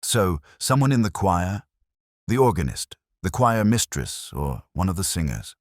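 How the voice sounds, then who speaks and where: A man's voice: deep voice, sing-song, measured pace, no room sound, clean studio sound, voice pushed up. A man voicing an eager, puzzled junior police sergeant who questions his superior in a detective story.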